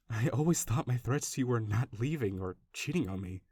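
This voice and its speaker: Man playing a doting lovestruck kidnapper, mid-pitched, affectionate rambling delivery, obsessive, awkwardly gentle, audio roleplay, dry close recording. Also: expressive, unhurried, husky, dry recording. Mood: doting, earnest. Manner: gushing, rambling, affectionate, self-interrupting. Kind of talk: audio roleplay addressed directly to the listener.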